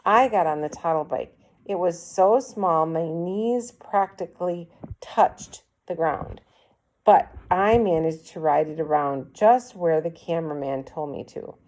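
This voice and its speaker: A woman reading a children's story aloud as an expressive storyteller. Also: lowish voice, measured pace, poor audio. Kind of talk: expressive children's book narration.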